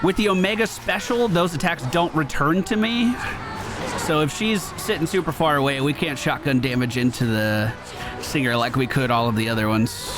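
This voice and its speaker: A man's voice: fairly high pitch, moderate pace. A man hosting a gaming video, giving upbeat running commentary on his own play. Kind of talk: gameplay commentary. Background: video game music.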